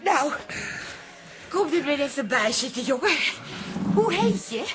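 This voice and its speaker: An actress playing a good-natured, naive wife in a Dutch café radio play, chatty and easily flustered. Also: female voice, sits high, sing-song, unhurried, a bit bright, full character voice. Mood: friendly.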